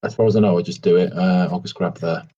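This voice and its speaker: A man's voice: deep voice, fairly even intonation, fast talker, dark tone, lo-fi sound. A man playing a tabletop roleplay game, chatting casually and hesitantly, sometimes speaking in character.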